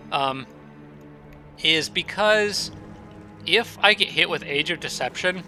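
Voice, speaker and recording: A man's voice: on the high side, pushed and bright. A man giving casual commentary over a strategy game, thinking aloud with many fillers and restarts, his nose stuffed up from allergies.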